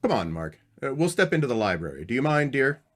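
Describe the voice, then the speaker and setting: Man playing an earnest husband, very animated delivery. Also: mid-range voice, quick pace, natural voice. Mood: casual, welcoming. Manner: questioning, hesitant. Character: earnest, uneasy husband, a book editor. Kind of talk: old-time radio mystery acting.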